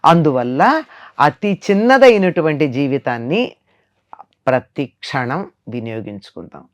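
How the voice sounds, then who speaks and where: A woman's voice: low voice, very animated, unhurried, highs cut off. An older woman giving a moral discourse in conversation as a spiritual teacher, emphatic and repeating phrases to drive points home.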